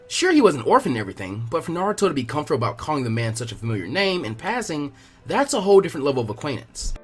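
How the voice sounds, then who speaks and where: Lively, fast-reading man narrating a story. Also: a little high, husky. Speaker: fan fiction reader.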